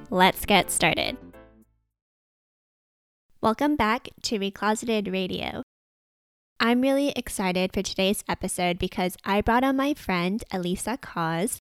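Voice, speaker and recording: A woman's voice: medium pitch, clean tone, no echo. A woman hosting a podcast, talking in an upbeat, enthusiastic way into a close mic as she interviews a guest. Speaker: podcast host.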